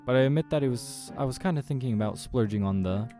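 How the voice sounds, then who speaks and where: A man's voice: mid-range voice, fast, mellow, soft background music, pitched above the performer's own voice, close to an everyday voice. A man voicing a polite, affable human man on a date in a visual novel, mild and accommodating. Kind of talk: acted visual novel dialogue.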